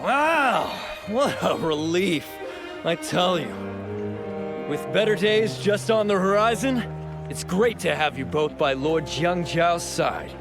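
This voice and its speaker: An eager young soldier in a game cutscene. His voice is fairly high and swoops about, excitable and earnest with a nervous politeness, over loud string music. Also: slow, exaggerated voice. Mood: earnest, buoyant. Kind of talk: dramatic video game acting.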